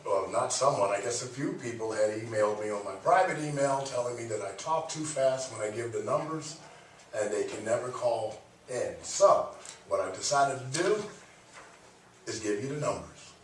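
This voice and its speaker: A man's voice: medium pitch, expressive, normal speed. Joking male radio host in an echoey room. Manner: joking, teasing, loose and playful. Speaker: radio talk show host. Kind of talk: casual banter on a local radio show. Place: radio studio. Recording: echoey room, distant mic, faint noise.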